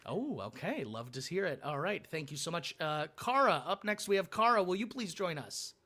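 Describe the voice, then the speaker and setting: A man with a high-pitched voice and an American accent. He speaks as a game show host, presenting and introducing players. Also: expressive, moderate pace, sharp tone. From Michigan, United States. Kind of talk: scripted show hosting, introductions.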